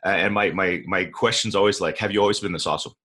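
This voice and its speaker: A man's voice: sits low, fairly even intonation, quick pace, bright, forceful tone, husky. A man hosting a podcast, chatting in a friendly, loose way with many fillers. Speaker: podcast host. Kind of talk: casual interview with scripted intro.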